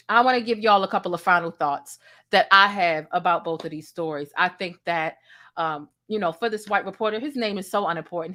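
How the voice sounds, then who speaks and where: Deep-voiced woman hosting a live commentary. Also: pushed and bright. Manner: emphatic, repeats lines for punch, asks the chat questions. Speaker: YouTube commentary host. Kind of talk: livestream commentary.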